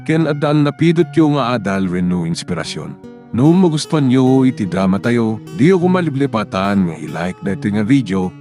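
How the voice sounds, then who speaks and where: Low, animated male drama narrator over guitar. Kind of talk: scripted drama outro narration. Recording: plucked guitar music behind.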